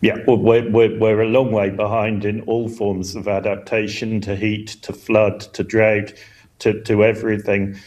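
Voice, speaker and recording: Low-pitched man, earnest expert interviewee. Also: level tone, unhurried, a little mellow. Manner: earnest, emphatic, stammering repeats of words. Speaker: climate scientist, expert guest. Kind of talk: broadcast news interview, explanatory answers.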